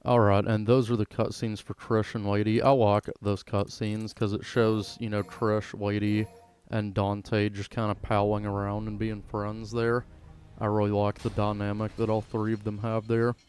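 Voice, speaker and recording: A man gives casual commentary over gameplay. His voice is fairly low and stays very even in pitch, with an informal, unpolished way of talking. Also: normal speed. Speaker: gaming video creator.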